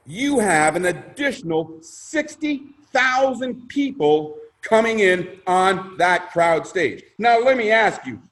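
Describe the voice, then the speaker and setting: A male presenter with a high voice delivers a motivational sales pitch. He is emphatic and theatrical, building his points through repetition and questions put to his listeners. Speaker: presenter pitching a crowdfunding scheme.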